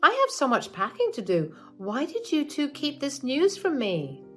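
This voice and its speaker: Woman reading a spiteful, entitled mother-in-law, pitched up, scornful, lively. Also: a little slow, soft background music. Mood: shrill excited.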